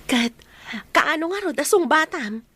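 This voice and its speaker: A woman's voice: high voice, animated, measured pace, a bit bright. A woman with a Filipino accent playing a playful, teasing young best friend in a radio drama. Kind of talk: Philippine radio drama acting.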